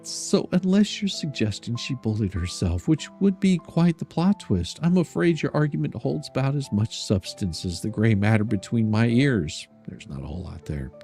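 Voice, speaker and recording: Older guy streamer doing a dramatic mocking letter read, gravelly voice, music playing behind. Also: mid-range voice, big pitch swings, moderate pace, hardly any pauses, mellow. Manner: sarcastic, mocking, theatrical. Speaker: streamer and host. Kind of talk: dramatic sarcastic letter reading.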